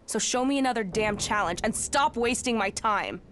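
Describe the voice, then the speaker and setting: A woman's voice: fairly high pitch, expressive, normal speed, fairly bright tone, slight background noise. An actress playing a brash, impatient, foul-mouthed woman warrior in a video game, blunt and combative. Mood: impatient, defiant. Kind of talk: video game cutscene acting.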